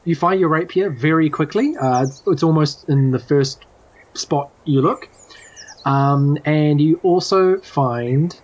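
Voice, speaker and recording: A man with a New Zealand accent, running a tabletop game in a casual, halting, self-deprecating way. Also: a little high. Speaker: game master. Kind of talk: casual game recap and narration. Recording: slightly muffled, music behind. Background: background music.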